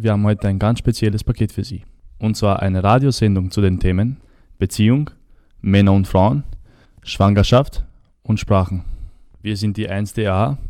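A man's voice: lowish voice, warm tone, no echo. A young man introducing a school radio show in an upbeat announcer style.

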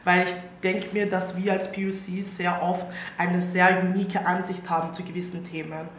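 A woman's voice: on the low side, flat delivery, normal speed. A woman with an Austrian accent gives informal opinions as a panelist, colloquially dropping English words into her German. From Styria, Austria. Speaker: panelist, social media activist. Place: indoor public event venue. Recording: roomy echo, clear background noise.

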